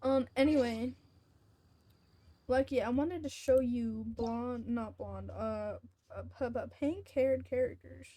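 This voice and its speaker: A woman's voice: a little high, mellow. A young woman chatting casually and hesitantly as she plays a game on a livestream, rambling a little. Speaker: game streamer. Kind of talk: casual livestream chat while gaming. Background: faint game music.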